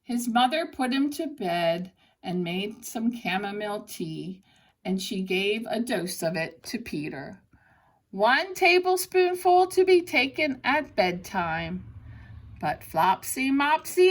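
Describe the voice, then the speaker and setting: Woman, children's storybook reader, lively varied intonation, slow deliberate pace, expressive delivery. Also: mid-range voice. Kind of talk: children's story read aloud.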